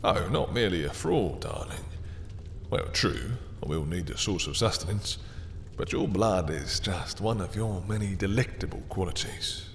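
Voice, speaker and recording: A man voicing a deep, silky, sadistic vampire. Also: expressive, normal speed, long reverb tail, slight background noise. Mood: seductive. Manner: smooth and taunting, with soft chuckles and low whispers. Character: possessive sadistic male vampire lord, silky and menacing. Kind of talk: audio roleplay.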